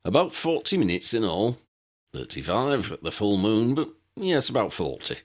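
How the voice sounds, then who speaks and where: A man's voice: lowish voice, sing-song, normal speed, fairly bright tone. A man voicing a short, tremulous old wizard pilot, fussy and obliging, in a storybook reading. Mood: obliging, chatty. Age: older. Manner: chatty, repeats himself.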